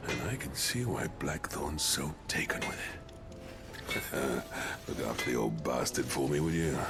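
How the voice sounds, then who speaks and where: Very deep, gravelly craftsman. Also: heavily acted. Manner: jovial, earthy, theatrical flourishes. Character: big-hearted, boisterous old-hand male craftsman. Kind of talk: video game voice acting.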